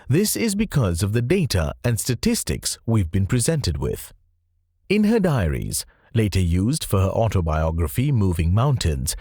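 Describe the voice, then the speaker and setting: Male narrator, nonfiction audiobook, highly varied intonation, dry studio-like sound, measured explanatory delivery. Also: darkish tone, slightly rough, no echo.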